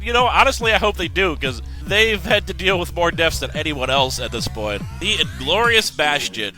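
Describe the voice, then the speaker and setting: Congested young guy rambling thru game news, kinda high bright voice, jokey asides. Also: low-quality recording. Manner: rambling, self-correcting, joking asides. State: congested, just woke up. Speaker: host giving game news. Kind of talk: casual commentary.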